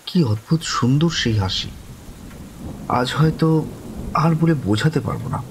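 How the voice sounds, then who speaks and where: A man playing a courteous young man who narrates his own eerie encounter in a horror audio story, lingering and expressive. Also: a little high, a little slow, slight background noise, rough recording. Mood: smitten, wistful. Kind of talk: dramatic first-person horror story narration.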